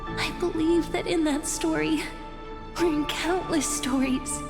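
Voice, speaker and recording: An actress voicing a gentle, hopeful young woman who watches over time in a fantasy game, speaking tenderly and deliberately. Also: high-pitched, steady tone. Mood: tender, earnest. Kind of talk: dramatic fantasy game voice acting. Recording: long reverb under clear background music.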